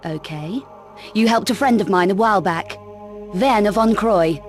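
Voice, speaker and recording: A woman's voice: mid-range voice, moderate pace, lightly acted. Woman doing a cool brash adventurer lady from a video game, sarcastic, mid voice, bit of music under it. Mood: businesslike, guarded. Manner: sardonic, curt, defiant. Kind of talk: video game cut-scene acting.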